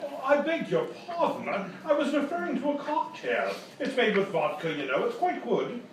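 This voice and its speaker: Man playing a very high-pitched prissy dandy. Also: sing-song, moderate pace, full character voice. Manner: fussy, genteel, hesitant. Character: prissy, timid, genteel city dandy in the Old West. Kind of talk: live radio-style sketch comedy acting. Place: live performance in a hotel venue. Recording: very echoey, noisy live stage, distant mic.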